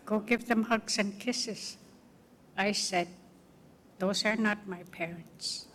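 An older woman from the Canadian Arctic gives personal testimony to an audience. She talks slowly and deliberately in a bright, forceful voice that carries through long reverb. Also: mid-range voice, steady tone. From Arctic northern Canada. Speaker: guest speaker, residential school survivor. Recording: long reverb of public-address sound.

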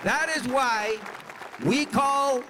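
A man's voice: very high-pitched, drawn-out words, pushed and bright, a faint crowd, a little hiss or hum. A man from Canada co-hosting an event, delivering a solemn scripted public speech. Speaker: event co-host.

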